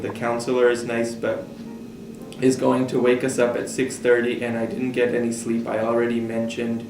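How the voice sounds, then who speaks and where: A man reading a child's letter aloud in a halting way, stumbling and correcting himself. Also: medium pitch, a little mellow. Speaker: staff member reading aloud. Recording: distant roomy mic with guitar music. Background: guitar music.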